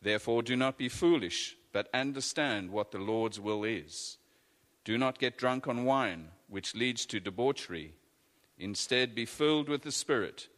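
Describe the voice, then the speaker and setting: A man reading scripture aloud in a measured, deliberate way. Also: mid-range voice, animated, a bit bright. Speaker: scripture reader. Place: church. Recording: echoey church hall.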